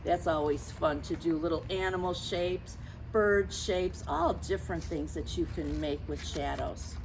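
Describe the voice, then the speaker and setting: A woman reading a picture book aloud to young children, chatting to them directly with questions and gentle reminders in a teacherly way. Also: lowish voice, a little slow. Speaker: story time reader for children. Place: outdoors near lawns being mowed. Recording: outdoor noise, mower and birds.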